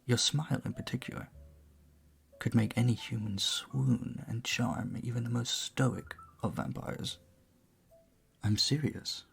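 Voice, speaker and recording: A man's voice: medium pitch, fairly even intonation, unhurried, gravelly, husky, exaggerated voice. An actor playing a charming, flirtatious vampire bartender in an intimate audio roleplay, suave and teasing as he speaks to the listener.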